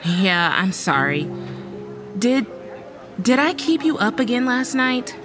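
A young woman acting a sleepless, stressed college student, with an expressive, anxious delivery in an audio drama. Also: on the high side, takes it slowly, music in the background, a crowd in the background. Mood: weary, apologetic. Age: young adult. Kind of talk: dramatic dialogue in old time radio style audio play.

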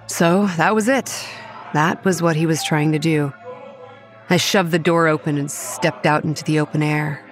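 A woman acts out a proud young manager who narrates a horror audio drama. Her voice sits low, with soft music beneath it. She is stern and measured, with a combative edge. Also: fairly even intonation, lightly acted. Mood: tense narration. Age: young adult. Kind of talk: first-person dramatic narration with dialogue.

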